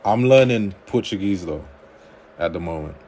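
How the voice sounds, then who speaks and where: A man hosting a livestream on dating advice, reading viewer comments aloud and answering them in a relaxed conversational way. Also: sits low, moderate pace, a little hiss or hum.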